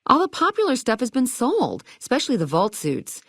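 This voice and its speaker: High, animated, perky shopkeeper gal. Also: female voice, fairly quick, no echo, clean studio sound, heavily acted. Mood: bubbly, excited. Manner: bubbly, sassy, theatrical. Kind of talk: video game character dialogue with retro 1950s slang.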